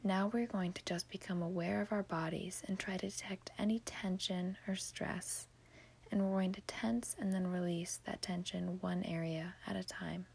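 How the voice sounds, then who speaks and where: A woman podcast host whispering a guided sleep meditation in a breathy, hushed, soothing manner. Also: on the low side, fairly even intonation, normal speed.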